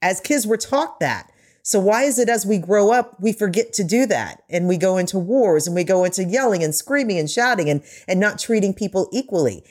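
A woman's voice: mid-range voice, expressive, a little fast, darkish tone. A woman hosting a podcast in an emphatic, effusive conversational way. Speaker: guest podcast host.

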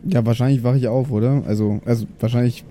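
A man's voice: medium pitch, steady tone, quick pace, warm tone, faint music behind. A man chatting casually as a player in an improvised tabletop role-playing game.

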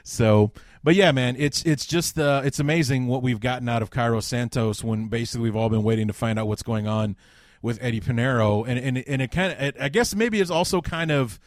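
A man with a baritone voice hosting a casual sports podcast, talking in loose rambling runs with restarts. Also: brisk, dry recording, studio quality. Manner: rambling, restarts, laughs often. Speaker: podcast host.

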